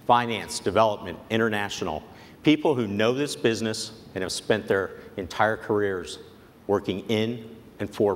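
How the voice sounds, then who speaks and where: Executive keynote: man, 40s, fairly low voice, persuasive and earnest, stage headset mic in a large echoing convention hall with a faint rumble. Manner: measured, earnest, persuasive delivery. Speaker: company executive giving a keynote. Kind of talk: prepared convention speech. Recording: long hall reverb, faint background noise.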